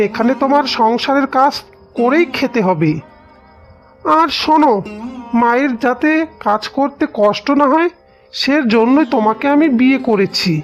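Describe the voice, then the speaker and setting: A woman voicing a stern, indignant young husband and devoted son in a cartoon. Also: sits high. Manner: indignant, scolding. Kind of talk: cartoon dialogue acting. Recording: dull audio with music behind.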